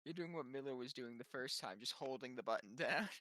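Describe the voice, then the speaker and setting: A man's voice: medium pitch, brisk, sharp tone, dry recording. A man playing a tabletop roleplaying game, chatting casually and unscripted with the group. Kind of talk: casual chat during a game.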